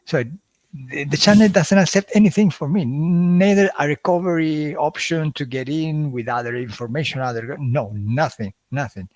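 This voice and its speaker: A man's voice: a little high, a little mellow, no room sound. Italian accent guy doing a livestream, stops and restarts a lot, dry close sound. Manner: halting, many fillers and restarts. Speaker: hypnosis channel host. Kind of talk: casual livestream talk.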